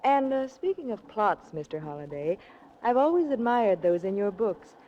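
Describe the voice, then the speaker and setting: A woman's voice: medium pitch, expressive, moderate pace, mellow, lightly acted. An actress playing a cool, scheming mystery woman, poised, formal and coy, in 1940s radio drama style. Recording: muffled, noisy 1940s radio recording.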